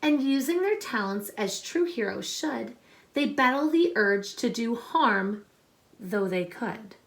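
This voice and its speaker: Slow-paced woman reading aloud to children. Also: fairly high pitch, expressive, creaky. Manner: deliberate, instructive, addressing young listeners with questions. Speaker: teacher reading a picture book aloud to children. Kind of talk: expressive storybook read-aloud with explanatory asides to children.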